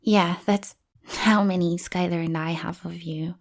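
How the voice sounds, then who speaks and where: Roleplay bodyguard, female, acted by a woman: slightly low register, very unhurried, lovestruck and awkwardly earnest, prone to flustered self-correction. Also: fairly even intonation. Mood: covering up. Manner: awkward, earnest, slips and hastily corrects herself. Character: devoted, flustered young female bodyguard, hopelessly lovestruck and clingy. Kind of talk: acted audio roleplay addressed to listener.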